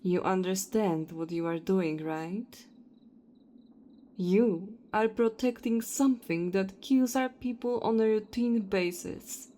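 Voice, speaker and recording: A woman's voice: mid-range voice, slow pace, dark tone, slight background noise, natural voice. A woman playing a cold, self-righteous vampire hunter in an audio roleplay, taunting and contemptuous. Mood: scornful, impatient.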